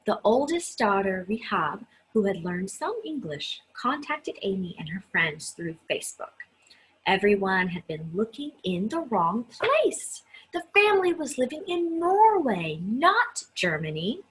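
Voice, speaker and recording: A woman reading a children's story aloud in an expressive, chatty style, stressing key words with dramatic emphasis. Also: mid-range voice, unhurried, breathy edge, low-quality recording. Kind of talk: expressive read-aloud with chatty asides to listeners.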